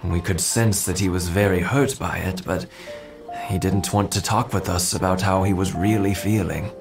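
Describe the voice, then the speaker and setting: An actor playing a gentle elderly husband in a video game, thoughtful, nostalgic and a little hesitant. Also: male voice, low-pitched, fairly even intonation, normal speed, vocal fry. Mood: pensive. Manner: hesitant, reflective. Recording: music under the dialogue.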